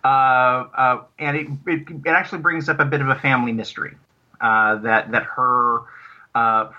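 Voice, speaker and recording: A man talking casually as an interview guest, explaining his audio drama work in a halting, rambling, self-correcting way. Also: mid-range voice, fairly even intonation. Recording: dull lo-fi connection.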